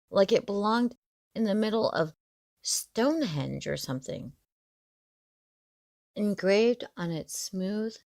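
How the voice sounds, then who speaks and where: A woman narrating a scripted story in the first person, in a measured, expressive storytelling manner. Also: on the low side, gravelly, no room sound, clean studio sound.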